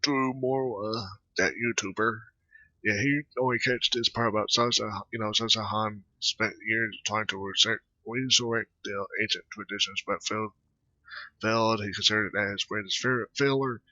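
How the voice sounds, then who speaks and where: A man hosts a gaming playthrough with loose, rambling remarks. His lowish voice is very forceful and edgy, and he halts and repeats phrases. The sound is dry and lo-fi. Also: steady tone, no echo. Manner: halting, repeats himself. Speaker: gaming video host. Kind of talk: casual, rambling game playthrough commentary. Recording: dull, lo-fi close dry mic.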